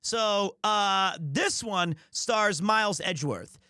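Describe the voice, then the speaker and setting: A man hosting a livestream, talking casually to his audience in a rambling, jokey, expressive way. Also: sits high, slow, clean tone, no echo, studio quality. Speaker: livestreamer and host. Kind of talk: casual chat to a stream audience.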